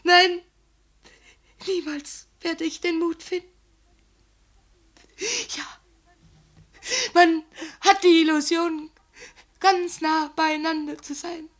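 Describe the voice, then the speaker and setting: An actress playing a tender, desperate abandoned mistress in a 1950s German radio drama, halting, tearful and pleading into a telephone. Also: female voice, extremely high pitch, little pitch movement. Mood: anguished.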